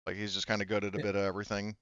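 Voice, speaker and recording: A man improvising narration as game master of a tabletop game, speaking in a hesitant, halting way. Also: on the low side, completely flat delivery, a bit bright, no echo, highs cut off.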